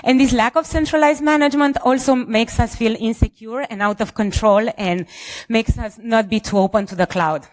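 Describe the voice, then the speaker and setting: Higher-voiced Romanian woman presenting. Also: normal speed. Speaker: security engineer, conference speaker. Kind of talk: conference presentation with light jokes.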